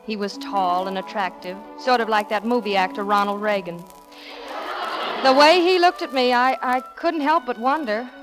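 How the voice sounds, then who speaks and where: An actress playing a wistful, romantic young woman with a soft, gently wry manner in 1940s radio style. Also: fairly high pitch, moderate pace, music in the background, close to an everyday voice, poor audio. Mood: wistful, confiding. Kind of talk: 1940s radio acting with first-person narration.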